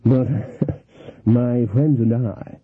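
A man voicing a smooth-talking male card sharper, slow and ingratiating. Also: medium pitch, steady tone. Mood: oily. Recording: thin phone-like sound.

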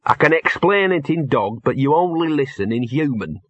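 A man's voice: fairly high pitch, expressive, moderate pace, a bit bright, breathy edge, no echo, highs cut off, voice pushed up. A man voicing a mournful, know-it-all talking dog in an audiobook, muttering and whining in colloquial speech. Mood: explaining, exasperated. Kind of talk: audiobook character voice.

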